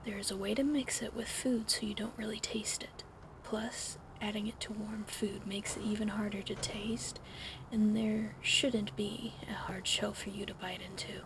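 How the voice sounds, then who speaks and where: A woman whispering softly in an ASMR roleplay, caring but gently bossy, recorded close to the mic at home with a fan running behind her. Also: medium pitch, steady tone, normal speed. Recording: fan noise in background.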